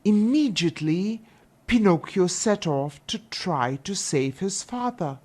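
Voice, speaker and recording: A woman storyteller reads a children's fairy tale. Her voice sits a little low and moves through wide, lively intonation. She takes her time, phrasing each part deliberately. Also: a little hiss or hum.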